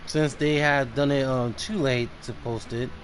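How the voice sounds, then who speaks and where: Slow-talking male game streamer. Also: mid-range voice, fairly even intonation, slight background noise, rough recording. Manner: thinks aloud, loose and rambling. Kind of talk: casual live-stream gameplay chat.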